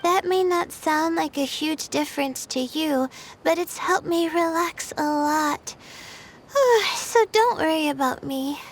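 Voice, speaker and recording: A shy sleepy scholar girl for a video game, really high voice, earnest n hesitant. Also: takes it slowly. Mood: relieved. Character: timid, sleepy young woman scholar, earnest and nervous.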